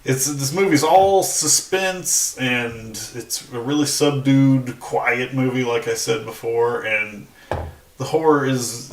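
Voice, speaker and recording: A man of medium pitch chats about film as a podcast panelist, often stopping to find the right words. He sits away from the mic in an echoey room. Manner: halting, searching for words. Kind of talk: casual film discussion. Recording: distant mic, roomy echo.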